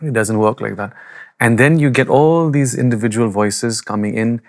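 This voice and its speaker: A male choir singer talks in a podcast studio about his craft, bold and candid, sometimes poking fun at himself. His voice is a middle pitch, and he speaks in plain conversational sentences. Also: moderate pace. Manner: bold, candid, self-deprecating. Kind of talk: conversational explanation.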